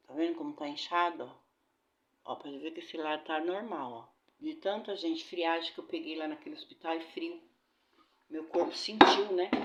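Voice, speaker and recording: A middle-aged Brazilian woman with a flu, chatting casually to her viewers from her home kitchen while washing dishes. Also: fairly low pitch. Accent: Brazilian Portuguese. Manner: chatty, addresses viewers as "gente", religious blessings. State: recovering from a bad flu. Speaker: vlogger. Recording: roomy, dull, noisy kitchen recording.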